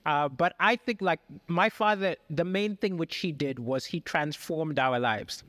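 A man's voice: a little high, measured pace, dry recording, clean studio sound. A man from Malawi, a comedian, chatting as a podcast guest and telling stories with frequent laughs. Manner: animated storyteller, laughs often. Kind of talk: casual interview chat.

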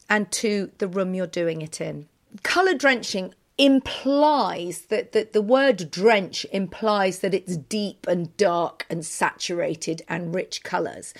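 A woman co-hosting a home design podcast, giving measured, decisive advice in casual chat. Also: medium pitch. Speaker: podcast co-host.

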